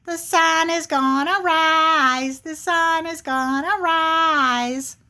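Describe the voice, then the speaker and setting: Woman as a high-pitched cheeky little squirrel. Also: fairly even intonation, extremely slow, pushed and bright, heavily acted. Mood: taunting, gleeful. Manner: sing-song taunting. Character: cheeky, smart-alecky little male squirrel. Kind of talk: animal folktale storytelling.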